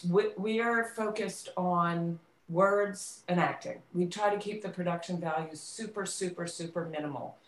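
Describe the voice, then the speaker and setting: A woman's voice: fairly low pitch, steady tone, moderate pace, hardly any pauses. A woman speaking conversationally as an interview guest who directs and produces theater, stressing points by repeating words. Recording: roomy, echoing sound.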